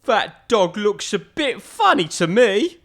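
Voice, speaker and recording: A woman voicing a big, bumbling, mischievous skeleton with drawn-out, exaggerated delivery in a children's storybook. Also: mid-range voice, sing-song, voice pushed up. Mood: musing.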